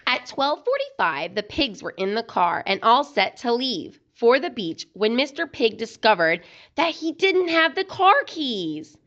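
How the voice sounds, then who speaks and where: Slow, very animated woman reading a storybook. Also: on the high side, muffled recording. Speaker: children's book reader. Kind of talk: expressive read-aloud storytelling.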